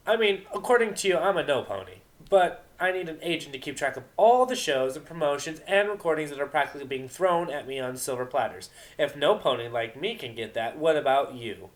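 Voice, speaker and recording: A man's voice: on the high side, animated, brisk, hardly any pauses, pitched above the performer's own voice, natural voice. A man voicing a cocky young female unicorn DJ, brash and goading, in an amateur dramatic story reading. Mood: gloating.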